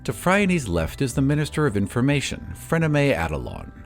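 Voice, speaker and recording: A man's voice: medium pitch, huge pitch swings, brisk, faint music behind. A man narrating a tabletop fantasy game as its game master.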